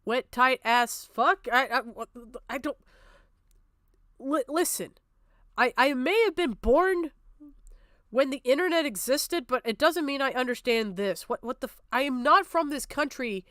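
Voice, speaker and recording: A woman's voice: sits high, unhurried, fairly bright tone, no echo, clean studio sound. A woman streamer giving live reaction commentary in a combative, sarcastic way, swearing heavily.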